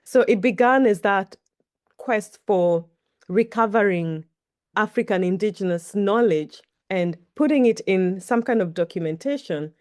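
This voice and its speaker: A woman's voice: medium pitch, darkish tone, dry recording, studio quality. A middle-aged Kenyan woman, a native Kikuyu speaker, answering interview questions in a thoughtful, storytelling way. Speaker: guest. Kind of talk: reflective interview talk.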